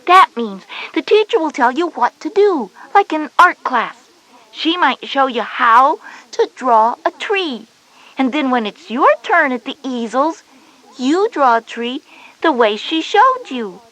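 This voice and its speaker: A woman's voice: high voice, very animated, unhurried, fairly bright tone, heavily acted, rough recording. A woman playing a knowing, slightly bossy older-sister schoolgirl, explaining things emphatically in an educational audio story. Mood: reassuring, explanatory.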